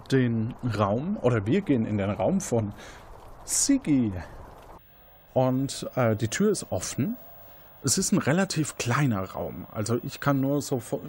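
A man's voice: mid-range voice, big pitch swings, unhurried, darkish tone. Man narrating over background noise. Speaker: host and game master. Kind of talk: improvised narration of an interactive mystery game.

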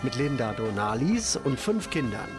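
A man's voice: medium pitch, sing-song, normal speed, over music. A man, hosting a local radio report and interviewing people.

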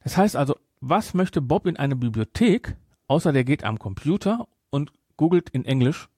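A man's voice: on the high side, lively intonation, normal speed, warm tone, slightly rough, no room sound, studio quality. A man speaking as a podcast host, discussing a film casually and emphatically, repeating his points to drive them home.

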